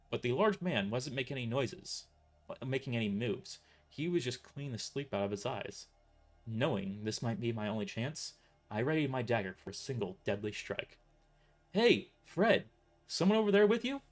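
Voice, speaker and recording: A man's voice: medium pitch, normal speed, faint music behind. A man reading a first-person story as a dramatic narrator, occasionally stumbling over his words. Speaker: author narrating own novel.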